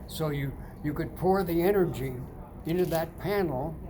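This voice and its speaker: A man in his ninetieth year, talking casually from inside a sauna, halting with many fillers and restarts. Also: on the high side, noisy background. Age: older. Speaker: sauna customer. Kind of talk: casual testimonial chat. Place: indoors, seated in a sauna.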